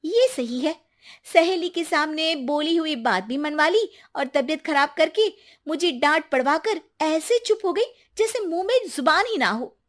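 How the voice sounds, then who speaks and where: A woman acts out a young Indian daughter-in-law in a high, expressive voice. She is sarcastic and quick to talk back, in the style of a domestic cartoon drama. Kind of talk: acted Hindi family story cartoon.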